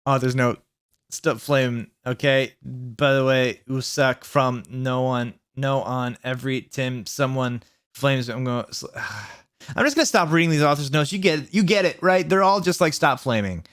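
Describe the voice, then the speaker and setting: A man's voice: medium pitch, fairly even intonation, moderate pace, a bit bright, smooth, no echo, studio quality. A man hosting a comic dramatic reading of fan fiction, mock-earnest and sarcastic in his asides.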